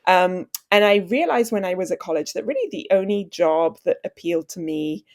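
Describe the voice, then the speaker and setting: A woman's voice: fairly low pitch, brisk. A woman in her thirties, raised in Switzerland, speaking as an author and journalist guest in a podcast interview, articulate and self-deprecating. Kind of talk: podcast interview, conversational storytelling.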